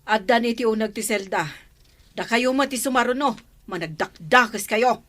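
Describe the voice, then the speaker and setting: An actress playing a stern, protective middle-aged aunt in a radio drama, speaking with authority and an Ilocano accent. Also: female voice, fairly high pitch, moderate pace, a bit bright, no echo, close to an everyday voice. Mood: scornful, stern. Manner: firm, authoritative.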